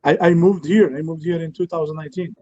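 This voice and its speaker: A middle-aged man from Algeria with a North African French accent, chatting casually as an interview guest in halting, repetitive English. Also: a little high, fairly even intonation, dark tone. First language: not English. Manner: halting, repeats words and says "you know" constantly. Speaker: guest, strongman athlete and promoter. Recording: dull lo-fi live-stream audio.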